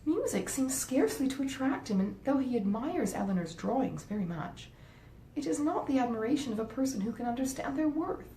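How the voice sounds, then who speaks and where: Lady doing a dreamy intense regency teen girl, high voice, very emphatic, echoey storybook reading. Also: animated, brisk, dark tone. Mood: earnest, disdainful. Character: romantic, earnest, dramatic teenage girl of Regency England. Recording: roomy echo, faint rumbling noise.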